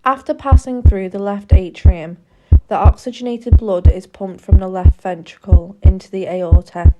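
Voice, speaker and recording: A woman narrates an educational film from a script, with a medium-pitched voice. Her delivery is formal and measured, explaining things step by step. The recording sounds slightly muffled and lo-fi. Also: steady tone, loud music behind the voice.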